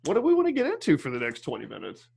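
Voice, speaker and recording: Higher-pitched man hosting a casual podcast. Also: huge pitch swings, racing, gravelly, darkish tone, slightly rough. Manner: easygoing, stammering repetitions. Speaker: podcast host. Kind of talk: casual interview chat.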